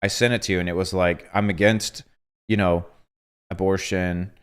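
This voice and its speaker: Earnest man in his twenties, lowish voice. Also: moderate pace, clear, resonant tone. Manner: earnest, animated storytelling with quoted asides. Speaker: host. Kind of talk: casual couple chat on a livestream.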